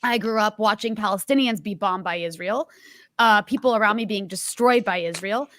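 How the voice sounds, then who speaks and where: A woman's voice: medium pitch, moderate pace, fairly bright tone. A woman in her thirties hosting an interview, talking in an emphatic, impassioned way. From United States. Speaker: host.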